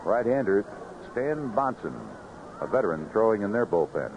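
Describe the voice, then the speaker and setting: An American man calling baseball play-by-play live on the radio in clipped phrases, in an animated manner. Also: fairly low pitch, normal speed. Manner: animated calls rising sharply on big plays, clipped phrases. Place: ballpark broadcast booth. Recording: noisy, muffled vintage AM radio broadcast.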